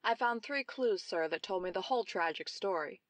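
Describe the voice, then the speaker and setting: A woman's voice: mid-range voice, lively intonation, normal speed, no echo, natural voice. An actress playing a brilliant young woman detective, explaining her deductions precisely in old-time radio mystery style. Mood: confident.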